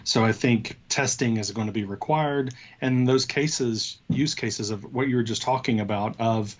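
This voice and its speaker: A man's voice: medium pitch, normal speed. Man chatting in dull, band-limited audio. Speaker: co-host of a tech show. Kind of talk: casual tech discussion.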